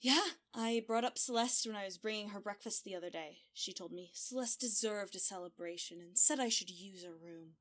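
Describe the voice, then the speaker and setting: A woman's voice: mid-range voice, fairly quick, few pauses, fairly bright tone, natural voice. An actress playing a wry young lady of a castle in an audio drama, with a dry, teasing manner. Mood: matter-of-fact.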